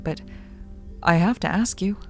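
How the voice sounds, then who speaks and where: An actress playing an earnest, yearning young daughter in a radio drama. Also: female voice, on the low side, measured pace, faint music behind. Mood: urgent, pleading. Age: young adult.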